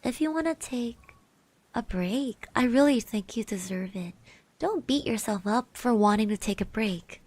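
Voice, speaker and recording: Woman playing a soft, slow-talking shy schoolgirl classmate. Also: fairly high pitch, expressive, dry recording. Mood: gently encouraging. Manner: hesitant, bashful, stammering, reassuring. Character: shy, kind eighteen-year-old girl classmate giving encouragement. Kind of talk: ASMR roleplay. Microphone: close microphone.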